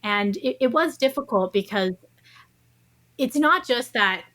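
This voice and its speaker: A woman's voice: a little high, expressive, measured pace, fairly bright tone, no room sound. Woman interview guest, dry close sound. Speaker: interview guest, science writer. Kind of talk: thoughtful conversational interview answers.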